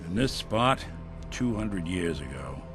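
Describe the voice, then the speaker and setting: An actor playing a weary, determined middle-aged robot private detective in a video game, with a wry, hard-boiled noir way of talking. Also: male voice, deep voice, big pitch swings, takes it slowly, music in the background, heavily acted. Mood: cold, solemn.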